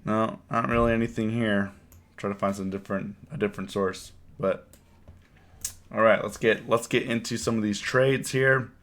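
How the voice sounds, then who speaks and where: A man with a cold and a hoarse voice, hosting a casual live stream and chatting with his viewers, often repeating his words. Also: on the low side, fairly even intonation, moderate pace, fairly bright tone. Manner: rambling, repeats words, reads chat aloud. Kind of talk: casual live stream chat about trading.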